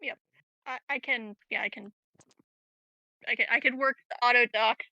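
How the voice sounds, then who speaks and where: A woman joins in a tabletop roleplaying game with casual chat. Her voice is very bright and sharp, a bit high, and recorded dry. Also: steady tone, moderate pace, no room sound, highs cut off.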